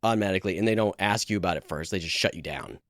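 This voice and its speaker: A man with a low-pitched voice talks as a podcast co-host in a casual, conversational style. He explains things step by step, and the sound is dry and close, with little room in it. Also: racing, fairly bright tone, dry recording. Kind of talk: casual co-hosted podcast chat.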